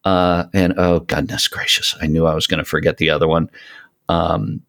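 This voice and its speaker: A man with a deep voice speaks as a guest in a casual interview. He explains things with enthusiasm and tends to ramble as he goes, keeping a conversational podcast style. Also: little pitch movement, normal speed, gravelly, a bit bright. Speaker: podcast guest, voice of an audio show.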